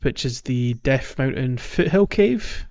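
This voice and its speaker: A man's voice: medium pitch, animated, slow pace, a little mellow. A man hosting a podcast, explaining a video game playthrough casually and a bit hesitantly. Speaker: podcast host. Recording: slightly dull lo-fi audio.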